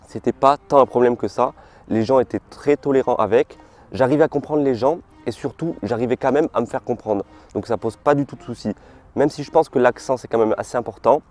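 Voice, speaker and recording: A young French man chats to camera in a relaxed, informal way, peppered with slang. He is outdoors in a park, caught on a simple camera mic. Soft music plays faintly underneath. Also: medium pitch. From France. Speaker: vlogger. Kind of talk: casual vlog talk to camera. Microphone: camera mic, filmed without full gear.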